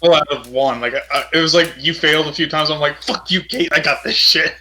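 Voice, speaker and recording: A man's voice: a little high, a little fast, bright, forceful tone, a little hiss or hum. A man playing a tabletop role-playing game and talking casually about his moves and rolls.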